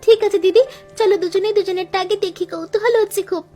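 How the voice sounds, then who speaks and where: Cartoon role: a woman playing an eager younger daughter-in-law, very high and fast, over light music. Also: fairly even intonation, mellow.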